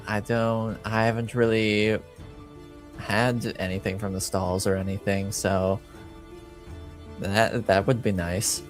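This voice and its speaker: A shy but eager young noble girl in a fantasy roleplaying game, voiced by a man. The voice is fairly low and hesitant, with faint music underneath. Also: little pitch movement, slow, long pauses. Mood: shyly pleased.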